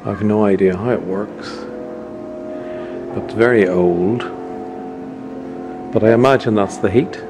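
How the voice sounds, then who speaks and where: Middle-aged man, low voice, chatty awed vlog talk, handheld camera, loud music over it. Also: unhurried, long pauses. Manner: awed, chatty, wandering as he talks. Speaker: vlogger. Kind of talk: casual travel vlog commentary. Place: inside a large stone cathedral. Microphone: handheld camera held close.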